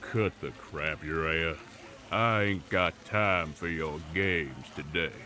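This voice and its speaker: An actor playing a gruff, hard-nosed swamp man with a temper, curt, grumbling and folksy, in an audio roleplay. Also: extremely low pitch, takes it slowly, bright, forceful tone, heavily acted. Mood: irritated. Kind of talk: audio roleplay acting, dramatic dialogue.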